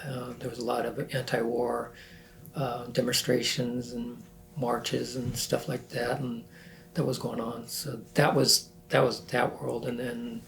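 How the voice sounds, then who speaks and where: A man in his sixties from northern California, recalling his life in a halting, self-correcting way as an oral history interviewee. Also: mid-range voice, completely flat delivery, creaky, fairly bright tone, a little hiss or hum, rough recording. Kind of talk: reflective recollection.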